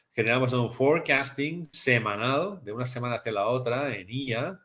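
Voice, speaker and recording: A man's voice: mid-range voice, a bit bright. A man giving an explanatory lecture over slides, repeating phrases for emphasis and posing rhetorical questions. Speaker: lecturer presenting a business case to master's students. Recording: dull, lo-fi sound.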